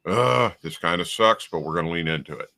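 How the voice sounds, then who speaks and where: A man's voice: sits low, lively intonation, brisk, gravelly, sharp tone, no echo, studio quality. A man reflecting casually in conversation about shared spiritual experiences, as a guest on a radio show.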